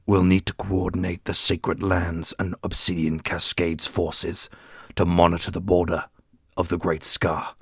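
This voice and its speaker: A man playing a stern, commanding ruler with a measured, formal manner in a fantasy audio roleplay. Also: deep voice, level tone, husky, no room sound, clean studio sound. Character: commanding male fantasy ruler, stern, loyal to his people.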